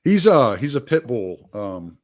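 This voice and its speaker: A man's voice: mid-range voice, big pitch swings, a little slow. A man, hosting a casual interview in an easygoing, rambling way. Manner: easygoing, rambling, chuckles often. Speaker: podcast host.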